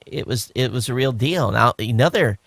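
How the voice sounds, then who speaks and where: A man co-hosting a podcast, talking in a relaxed, rambling way with many fillers. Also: medium pitch, moderate pace, vocal fry, no echo. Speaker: podcast co-host. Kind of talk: casual chat.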